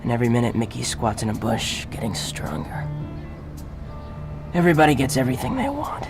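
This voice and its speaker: A man playing a weary, brooding army captain, narrating deadpan in a radio drama parody. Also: medium pitch, a little fast, vocal fry, over music.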